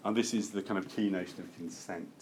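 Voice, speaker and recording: A man lecturing to a seminar group, halting and self-correcting as he thinks aloud and opens things up for discussion. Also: low voice, fairly even intonation, a little mellow. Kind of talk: academic lecture with open discussion. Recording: noticeable background room noise.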